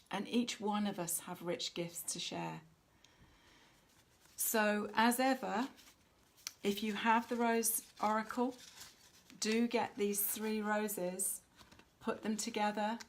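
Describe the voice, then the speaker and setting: A woman hosting a live spiritual oracle reading, speaking to viewers in a measured way with frequent pauses mid-sentence. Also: medium pitch. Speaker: host of a spiritual card reading.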